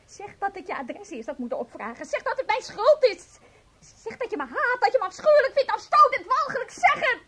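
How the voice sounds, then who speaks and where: Very high-pitched, flustered estranged wife. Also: female voice, very animated, pushed and bright, full character voice. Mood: desperate pleading. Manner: halting, repetitive, emotional. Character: flustered, pleading, guilt-ridden estranged wife. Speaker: actor. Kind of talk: radio play acting in Dutch.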